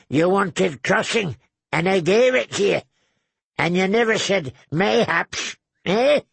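A man voicing a sly, crude, cackling old male lord with a gravelly voice who tags phrases with "eh?". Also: high voice, a bit bright, no room sound, voice pushed up, exaggerated voice. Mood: mocking. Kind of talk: audiobook character voice.

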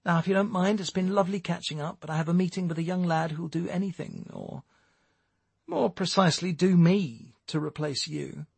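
A man's voice: sits high, fairly quick, creaky, slightly rough, no echo, pitched above the performer's own voice, heavily acted. A man voicing a domineering, manipulative woman boss in an audiobook, with a cutting, taunting manner. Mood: mocking. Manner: taunting, cutting, mocking endearments.

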